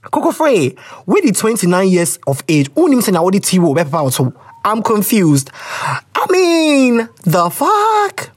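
A woman's voice: medium pitch, measured pace, husky. A woman with a Ghanaian English accent hosting a podcast, reading out listener letters in a loose, swear-heavy, laughing way. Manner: laughs a lot, swears, animated reactions. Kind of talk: casual chat.

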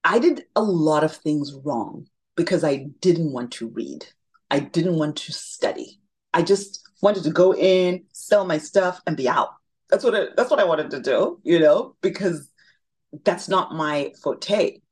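A middle-aged woman from Zimbabwe, chatting as an interview guest, telling lively stories and laughing often. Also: sits low, unhurried, husky. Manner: animated storyteller, laughs often. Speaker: guest, makeup brand founder. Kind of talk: casual interview chat.

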